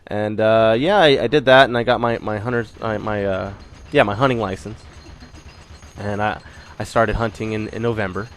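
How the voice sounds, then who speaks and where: A man's voice: fairly low pitch, steady tone, normal speed, a little hiss or hum. A young man giving a casual channel update, rambling with many fillers and restarts while still getting over a cold. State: recovering from a cold. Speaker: gaming channel host.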